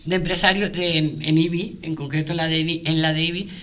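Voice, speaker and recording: A woman speaking off the cuff in a panel debate as a business representative, emphatic but often halting and repeating words as she searches for phrasing. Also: low voice, steady tone, a bit bright.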